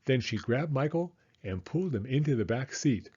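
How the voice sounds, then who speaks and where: A man reading a children's picture book aloud in an expressive, animated way, as a storyteller speaking to young listeners. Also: medium pitch, normal speed, slightly rough, lo-fi sound. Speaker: storyteller, former school teacher.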